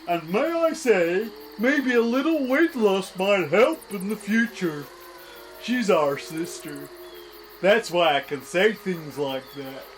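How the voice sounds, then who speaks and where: A man playing an earnest, guileless, excitable small-town deputy in a comedy audio drama. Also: high voice, slow, a little hiss or hum, rough recording. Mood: teasing. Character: earnest, naive, plain-spoken small-town deputy sheriff.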